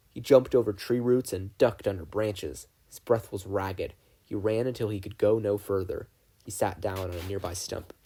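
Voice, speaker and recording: A man reading a scripted story as narrator, with a slightly husky voice. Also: lowish voice, dark tone. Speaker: fan fiction podfic reader.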